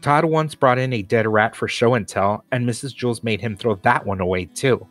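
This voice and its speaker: A man's voice: medium pitch, moderate pace, a bit bright. Man reading a children's story over faint music. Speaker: storybook reader. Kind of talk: scripted children's story narration.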